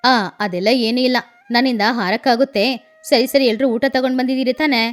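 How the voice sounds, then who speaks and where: Woman voicing a bossy, boastful cartoon crow lady, lower-pitched. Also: fairly even intonation, a little fast, close to an everyday voice. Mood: boastful, insistent. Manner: bossy, self-assured. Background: background music.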